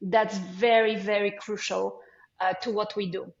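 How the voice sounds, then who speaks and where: A woman in her forties from Poland speaks with a Polish accent in a friendly, halting interview manner. Her voice comes through a dull, lo-fi line in a roomy, echoey space. She fills her pauses with ums as she explains. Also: medium pitch, level tone. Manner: hesitant, many ums, friendly. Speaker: guest, foundation CEO. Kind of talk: casual interview talk.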